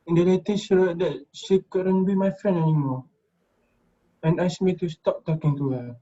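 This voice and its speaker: A man playing a guilt-ridden, subdued male student confessing haltingly in amateur reader's theater, on a dull lo-fi recording. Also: high voice, fairly even intonation, dark tone. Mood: subdued confession.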